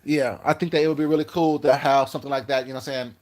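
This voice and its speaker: A man's voice: medium pitch, steady tone, very fast, a little mellow. A man hosting a casual livestream, rambling through his thoughts, repeating words and greeting viewers by name. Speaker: YouTube livestream host. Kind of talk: casual livestream chat reading comments.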